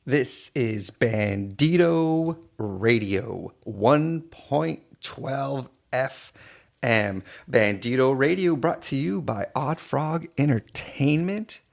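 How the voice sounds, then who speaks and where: A man from East Los Angeles hosting a radio music show as disc jockey, with deliberate, drawn-out announcer phrasing and pauses. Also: medium pitch, animated, creaky. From East Los Angeles, California.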